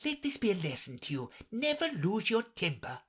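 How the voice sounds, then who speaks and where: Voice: medium pitch, sing-song, moderate pace, a bit bright, breathy edge, pitched above the performer's own voice. The narrator voicing an old mother crab who preaches and moralizes. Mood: admonishing.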